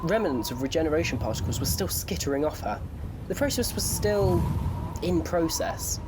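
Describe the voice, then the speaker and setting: Guy narrating an audio story, wry and playful, really animated, noisy background. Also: a little high, normal speed. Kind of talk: dramatic scripted story narration.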